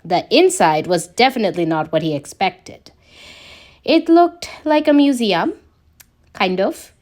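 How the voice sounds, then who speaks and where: Woman, story narrator, scripted reading, unhurried pace, animated intonation. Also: medium pitch. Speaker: podfic narrator. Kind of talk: scripted fan fiction narration, read slowly.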